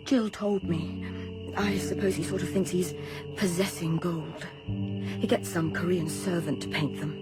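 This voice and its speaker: A woman's voice: lowish voice, steady tone, a little slow, close to an everyday voice. An actress playing a fierce, bitter young woman seeking revenge in a radio drama. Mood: bitter grief. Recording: radio play with loud music underneath.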